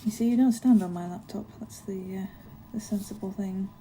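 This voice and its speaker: Woman playing a friendly giantess coworker, soft mellow voice. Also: medium pitch, fairly quick, slight background noise, lightly acted. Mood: chatty, relaxed. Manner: bubbly, caring, apologetic, hesitant with soft laughs. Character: friendly chatty giantess office worker greeting her tiny coworker. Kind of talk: ASMR roleplay, one-sided conversation.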